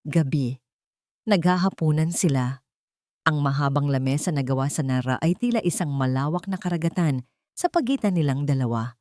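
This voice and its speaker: Low-pitched Filipino woman narrating drama. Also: lively intonation, normal speed, a little mellow, no echo, studio quality. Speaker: storyteller. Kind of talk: scripted dramatic narration of a love story.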